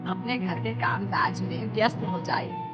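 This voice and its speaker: A woman's voice: medium pitch, fairly even intonation, unhurried, lightly acted. A woman voicing a worried, exasperated middle-aged mother in an acted family story, fretful and grumbling. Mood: resigned, worried. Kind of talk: acted children's story dialogue. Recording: dull audio with music behind.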